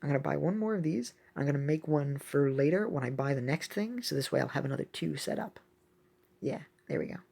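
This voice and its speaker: A woman giving casual, excitable gameplay commentary as a video host, thinking aloud as she plays. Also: low-pitched, fairly quick, vocal fry, slightly rough. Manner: excitable, exclaiming often; thinks aloud while playing.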